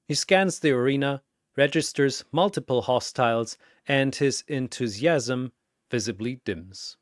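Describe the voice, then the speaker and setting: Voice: no echo, studio quality. A narrator and arena announcer delivering dramatic scripted battle storytelling in a theatrical manner. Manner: theatrical, booming announcements alternating with hushed storytelling.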